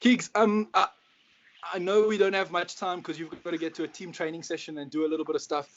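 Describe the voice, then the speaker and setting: A man's voice: a little high, little pitch movement, fast. A South African man interviewing casually as a football coach, effusive and matey in his encouragement. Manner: effusive, matey, calls guest bro. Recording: dull lo-fi sound with clear noise.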